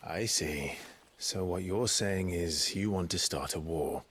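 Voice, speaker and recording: A man's voice: deep voice, slow pace, fairly bright tone, slightly rough, exaggerated voice. An actor voicing a grim young male soldier set on vengeance, speaking in a terse, guarded way. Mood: wary.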